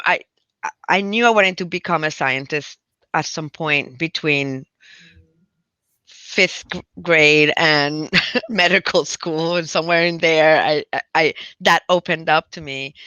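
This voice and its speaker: A woman's voice: low voice, takes it slowly, long pauses, sharp tone, clean tone, dry recording, dull audio. A middle-aged woman with a Puerto Rican accent, speaking thoughtfully as an interview guest, often restarting her phrases. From Puerto Rico. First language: Spanish. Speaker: guest scientist. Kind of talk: reflective interview conversation.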